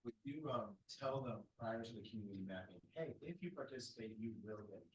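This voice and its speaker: A man's voice: fairly low pitch, expressive, brisk, a little hiss or hum. Distant man questioning from an echoey room. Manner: hesitant, polite questioning. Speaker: audience member asking a question. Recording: far from the mic in an echoey room with faint ambience.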